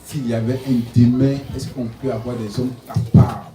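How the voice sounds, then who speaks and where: A man's voice: mid-range voice, level tone, normal speed, mellow. Pastor in his forties, echoey church. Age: 40s. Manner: punctuates phrases with alleluia and amen. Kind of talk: sermon. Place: church hall. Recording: echoey hall, distant mic, noisy.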